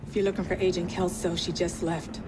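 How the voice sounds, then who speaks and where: A man's voice: high voice, flat delivery, fairly quick, background noise, natural voice. An actor playing a desperate father in a video game, pleading but hard and grim, speaking over game music. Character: desperate father whose daughter was taken. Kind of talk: video game cutscene dialogue. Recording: game audio with music underneath.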